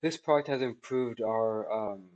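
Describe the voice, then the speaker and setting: A man in his thirties giving a spoken product testimonial in a halting, measured way, with drawn-out words. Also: mid-range voice, darkish tone, highs cut off. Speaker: technology product reviewer. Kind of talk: spoken testimonial answering questions. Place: small indoor office room by a large window.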